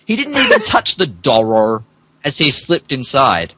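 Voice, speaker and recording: A man's voice: mid-range voice, wildly swooping pitch, a little slow. A man hosting and narrating, moving between casual chat and mock dramatic reading, amused and stumbling over garbled text. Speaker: podcast host and narrator.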